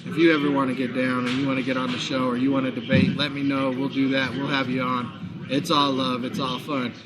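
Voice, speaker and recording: Hoarse sports talk guy broadcasting live from a packed bar, lots of crowd noise, chatty. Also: mid-range voice, little pitch movement, a little fast. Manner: chatty, promotional, frequent fillers. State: hoarse, recovering lost voice. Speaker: sports talk show host. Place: back room of a busy sports bar. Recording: noisy bar crowd behind.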